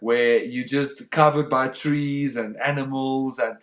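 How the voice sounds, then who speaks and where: A young man with an Afrikaans accent, hosting a relaxed livestream chat. His voice sits at a middle pitch for a man and rings in a roomy, echoey space. He stalls often, restarting his words and filling gaps with um. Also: slow. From South Africa. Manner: hesitant, repeats words, says um often. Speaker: livestream host. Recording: roomy echo.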